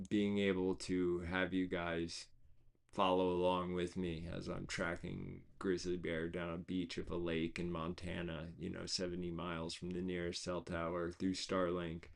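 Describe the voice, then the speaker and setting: A man hosting a casual livestream, rambling with many fillers and restarts, his voice gone hoarse. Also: sits low. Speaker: host of an online reading series.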